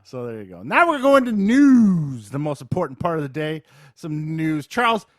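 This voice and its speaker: Guy cohosting a podcast, lively up and down voice, casual news chat, dry close sound. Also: on the high side, moderate pace, no room sound. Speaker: podcast co-host.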